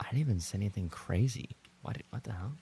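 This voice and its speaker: A man's voice: fairly low pitch, expressive, fast talker, gravelly, warm tone, slight background noise. A man livestreaming and chatting casually with his viewers, bantering in a playful, mock-indignant way. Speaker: live streamer and voice actor.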